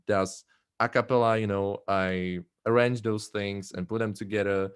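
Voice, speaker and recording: A man, a bass singer, answering interview questions in a casual, hesitant way with many fillers and restarts. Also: steady tone, normal speed, slight background noise. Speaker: a cappella bass singer and arranger.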